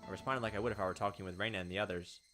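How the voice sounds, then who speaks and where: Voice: low-pitched, steady tone, racing, a bit bright, soft background music. A let's play host reading a visual novel aloud and dropping in offhand, casual commentary. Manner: reads aloud and drops in offhand asides. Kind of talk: game narration with casual commentary.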